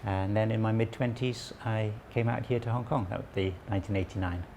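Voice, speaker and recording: A man around sixty, originally from Wales, calmly explaining local history and his website as an interview guest, with small restarts. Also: lowish voice, a little fast, gravelly, a little hiss or hum. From southwest Wales. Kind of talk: relaxed conversational explanation.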